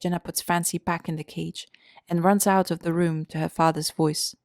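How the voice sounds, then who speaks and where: Woman narrator, slightly low pitch, creaky fry, scripted storytelling, faint guitar behind. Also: little pitch movement, moderate pace, darkish tone, dry recording, clean studio sound.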